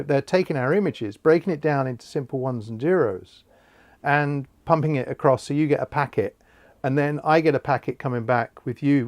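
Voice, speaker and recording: A man interviewing over an online call, explaining in a conversational way with halting restarts. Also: a little high, darkish tone, dry recording.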